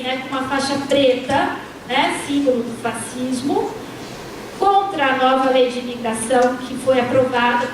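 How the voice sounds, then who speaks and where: A woman from Brazil delivers a university panel talk, explaining patiently and dotting her sentences with "né". Her voice sits high, and it reaches the recorder from across the room. A heavy hall echo and steady background noise surround her. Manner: frequent "né" tags, measured explanatory delivery. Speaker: university lecturer. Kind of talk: academic panel talk with slides. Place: lecture hall. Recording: echoey hall from far off with noise.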